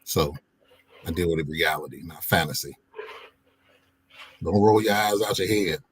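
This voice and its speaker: A man co-hosting a podcast, arguing bluntly and loudly, cussing freely and speaking with passion. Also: deep voice, normal speed, breathy edge. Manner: loud, blunt, cusses, talks with passion. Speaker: podcast co-host. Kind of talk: heated casual debate.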